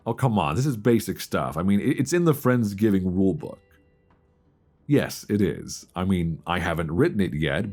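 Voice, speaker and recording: A man playing a wistful, homesick young friend with a secret crush in an intimate romantic audio roleplay, deep-voiced, confiding and hesitant, close on a binaural mic. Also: exaggerated voice. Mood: playful, warm. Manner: hesitant, confiding, conversational with sighs. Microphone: close binaural microphone. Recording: close binaural recording with soft guitar music.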